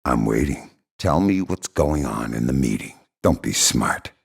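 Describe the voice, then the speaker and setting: An actor playing a hardened middle-aged spy in a video game, gravelly, terse and deadpan, with dry sarcasm and menace. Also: male voice, very deep voice, unhurried, heavily acted. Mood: cold interrogation. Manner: terse, deadpan, dryly threatening.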